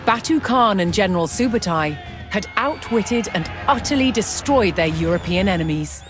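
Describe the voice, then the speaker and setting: A woman delivering scripted historical documentary narration in a measured, dramatic storytelling manner with deliberate pauses. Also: medium pitch, expressive. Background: background music.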